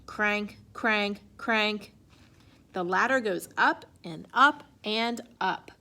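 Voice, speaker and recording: A woman reading a children's picture book aloud in an expressive way. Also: medium pitch, extremely slow. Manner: expressive, acts out sound effects and exclamations. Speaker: storybook reader.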